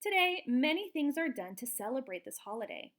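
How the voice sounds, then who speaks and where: A woman reading a picture book aloud to young children in an expressive, explanatory way, like a teacher. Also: high voice, brisk. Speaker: children's storybook reader, teacher.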